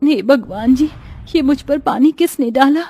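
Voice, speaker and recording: Woman acting a meek, high-voiced young stepsister. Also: measured pace, dark tone, dry recording, faint music behind. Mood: pleading. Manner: pleading, submissive. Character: meek, kind, mistreated young stepsister serving her family. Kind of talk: animated Hindi moral story acting.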